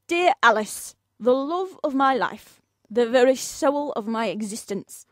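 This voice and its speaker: Woman acting a brisk, officious office boss, higher-pitched. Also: lively intonation, dry recording, clean studio sound. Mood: reciting grandly. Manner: formal, courteous, officious. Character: brisk, polite, breezily demanding office boss. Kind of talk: radio play dialogue.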